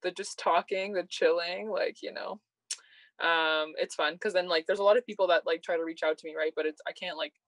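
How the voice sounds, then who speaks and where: A woman's voice: on the low side, steady tone, brisk, no room sound. A young woman with a Canadian accent, a podcast guest in casual chat, bubbly and giggly. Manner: bubbly, giggly, says "like" a lot.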